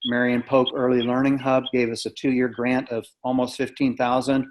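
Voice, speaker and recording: A man's voice: medium pitch, flat delivery, normal speed, a little hiss or hum, poor audio. An American man giving an informal, matter-of-fact report over an online conference call. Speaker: community task force member. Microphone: video call microphone.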